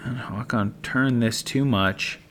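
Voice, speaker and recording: A middle-aged man giving relaxed play-by-play commentary as a voice-over, easygoing and dry, thinking aloud as he goes. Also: mid-range voice, slow, a little hiss or hum. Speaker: sports commentator. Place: voice-over, not at the course.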